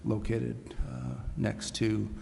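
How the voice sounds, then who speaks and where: A man speaking as a city official at a public meeting, explaining in hesitant, deliberate phrases. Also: medium pitch, level tone, creaky, mellow. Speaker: city administrator. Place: council chambers. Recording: reverberant hall with faint noise.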